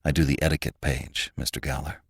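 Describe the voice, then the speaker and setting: Guy doing young magazine editor in a storybook, low voice, mild and gentle but stubborn, quietly firm. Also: very animated, normal speed, no room sound, studio quality, exaggerated voice. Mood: gentle correction.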